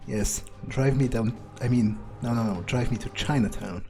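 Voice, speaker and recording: A man's voice: mid-range voice, moderate pace, dark tone, soft background music. A man improvising an in-character gameplay monologue as a sardonic vampire, musing hesitantly and casually to himself with dry, morbid humor. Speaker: let's player role-playing a character.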